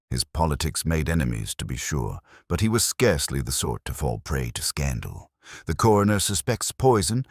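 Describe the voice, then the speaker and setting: A man's voice: extremely low pitch, no echo, clean studio sound, full character voice. A man voicing an irritable, practical Victorian police inspector in an audiobook, brusque and formal. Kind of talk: audiobook character dialogue.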